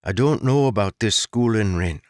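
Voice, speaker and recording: A man's voice: on the low side, expressive, moderate pace, no room sound, clean studio sound, pitched above the performer's own voice, natural voice. A man putting on a stern, practical mother. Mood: disapproving. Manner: disapproving, blunt. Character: practical, stern mother from the woods, wary of change.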